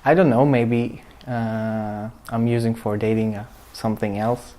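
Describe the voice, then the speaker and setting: A man in his twenties answering interview questions haltingly, searching for words. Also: medium pitch, little pitch movement, dark tone. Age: 20s.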